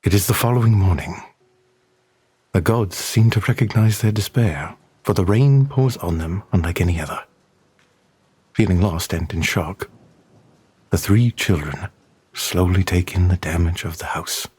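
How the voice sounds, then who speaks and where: A man narrating an audio drama in a solemn, measured, scripted storytelling manner. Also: deep voice, a little mellow, slightly rough, exaggerated voice.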